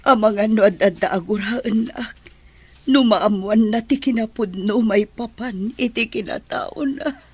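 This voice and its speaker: A woman with a Filipino accent playing a humble, guilt-ridden, injured nanny in an audio drama, speaking in a halting, plaintive way. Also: fairly high pitch, darkish tone, breathy edge, no room sound. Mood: sorrowful. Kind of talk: Ilocano audio drama acting.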